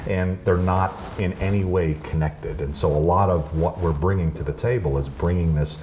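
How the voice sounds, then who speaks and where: A very low-pitched man talking as an interview guest, loose and rambling with lots of restarts and an upbeat tone. There's a faint hiss of background noise under his voice. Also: fairly even intonation, moderate pace, darkish tone. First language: English. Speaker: guest, chamber founder. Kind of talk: casual interview conversation.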